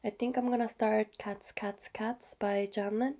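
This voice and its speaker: Female vlogger, mellow and a little gravelly, slightly hoarse from a cold, chatty show-and-tell voice-over. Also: medium pitch, little pitch movement, unhurried. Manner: soft, chatty, with fillers and small apologies. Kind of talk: casual show-and-tell narration.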